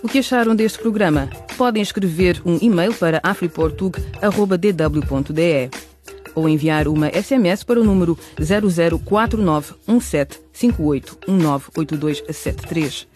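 A man narrating and presenting a radio drama program, reading with clear, measured delivery. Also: on the high side, a little mellow, faint music behind. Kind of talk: scripted narration.

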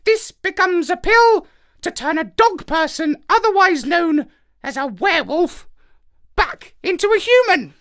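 A squawky smug parrot. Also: male voice, big pitch swings, unhurried, fairly bright tone, heavily acted. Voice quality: squawky high put-on voice. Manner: smug, condescending. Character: sharp-tongued clever male parrot hacker.

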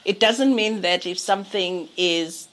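A woman's voice: mid-range voice, unhurried, a bit bright. A woman hosting a conversational panel interview. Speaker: host and interviewer.

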